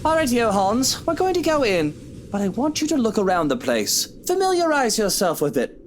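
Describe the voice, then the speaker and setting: An actor playing a bossy, pompous wealthy male employer in a visual novel, speaking in a haughty, commanding way over soft music. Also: high-pitched, very animated, moderate pace, a little hiss or hum.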